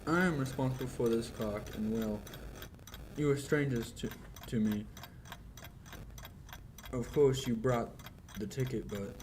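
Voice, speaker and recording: A man's voice: mid-range voice, unhurried, long pauses, a little mellow, lightly acted, rough recording. A young man acting a courteous middle-aged clockmaker in 1940s radio style, polite, hesitant and deferential. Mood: deferential, cautious. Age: young adult. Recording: faint noise, clock ticking behind.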